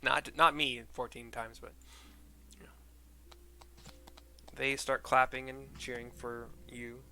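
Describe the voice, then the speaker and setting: A man giving casual, rambling gameplay commentary and narration in an informal way, with a gravelly voice. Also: medium pitch, flat delivery, slow, sharp tone, a little hiss or hum. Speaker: game streamer reading quest dialogue aloud. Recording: game music behind voice.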